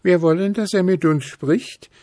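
A man's voice: sits high, measured pace, warm tone, dry recording, studio quality, voice pushed up. A man voicing an eager, giggly little forest animal in a children's story.

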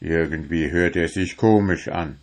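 Man as an earnest lovestruck gnome, unhurried. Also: low-pitched, voice pushed down. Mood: doubtful. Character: lovestruck male gnome, earnest amateur poet. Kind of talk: storybook character voice.

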